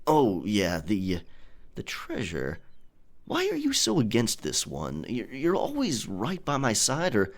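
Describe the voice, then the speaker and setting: Man playing a deep-voiced roguish pirate captain in love. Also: wildly swooping pitch, measured pace, full character voice. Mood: earnest, persuading. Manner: teasing, intimate pirate talk. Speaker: audio roleplay performer. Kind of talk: ASMR romantic audio roleplay acting.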